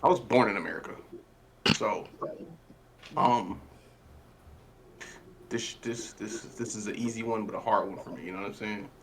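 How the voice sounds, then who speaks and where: A man's voice: lowish voice, normal speed, long pauses, noisy background. A man playing in a quiz with friends, rambling and joking in loose, casual speech. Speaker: quiz contestant. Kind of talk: casual banter among friends.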